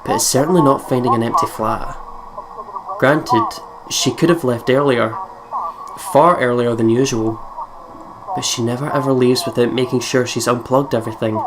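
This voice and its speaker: A man's voice: mid-range voice, fairly even intonation, normal speed, poor audio. A man hosting a let's play, reading first-person visual novel narration aloud and laughing between passages. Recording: loud background noise.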